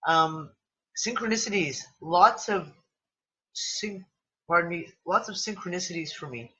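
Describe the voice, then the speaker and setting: A man's voice: sits high, a little slow, bright, forceful tone, muffled recording. A man hosting a casual livestream chat, losing his voice and sniffling, who talks in an exclamatory, rambling way and swears freely. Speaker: livestream discussion host. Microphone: computer mic on a video call.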